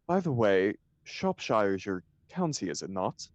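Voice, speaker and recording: A man's voice: on the low side, very animated, measured pace, no echo, lo-fi sound. A man reading the part of a flippant, idle upper-class bachelor in a stage comedy, with an arch, mock-serious declamatory manner. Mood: breezy, arch.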